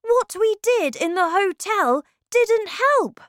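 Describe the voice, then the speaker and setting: An eager, indignant little storybook boy, acted out by a woman. The voice is very high and leaps about with breathless questions and exclamations. It sounds close and dry. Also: expressive, slow, fairly bright tone, no room sound, exaggerated voice. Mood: upset, insistent. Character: excitable young boy turned mouse, eager and indignant. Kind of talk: children's storybook character voice.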